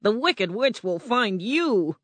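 A narrator voicing a male guard of a gate, speaking slowly with a mocking edge. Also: mid-range voice, big pitch swings, a bit bright, no room sound, pitched above the performer's own voice, heavily acted. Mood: ominous. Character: gatekeeper man, mocking.

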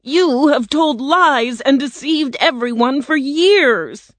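A woman as accusing storybook metal man, outraged, emphatic, extremely slow, sharp. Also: medium pitch, sing-song, dry recording, voice pushed up, heavily acted. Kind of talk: storybook character voice.

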